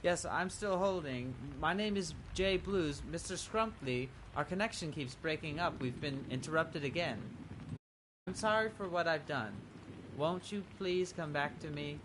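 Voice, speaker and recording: Lovelorn inn musician, highish voice. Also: male voice, expressive, unhurried, noisy background. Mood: plaintive. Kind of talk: video game character dialogue.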